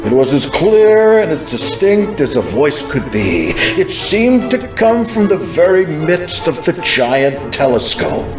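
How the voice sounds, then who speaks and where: A man playing a fervent American healing evangelist, solemnly and deliberately recounting a prophetic vision with dramatic emphasis. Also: a little high, sing-song. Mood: awed, fervent. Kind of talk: dramatized first-person testimony, scripted narration. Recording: roomy echo with music underneath.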